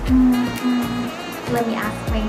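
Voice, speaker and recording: A woman's voice: a little high, little pitch movement, slow. A young woman with a Filipino accent, telling her own work story in an earnest, chatty way, full of fillers. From Philippines. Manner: earnest, chatty, many fillers like "you know" and "like". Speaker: vlogger. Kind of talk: casual personal storytelling. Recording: music playing underneath.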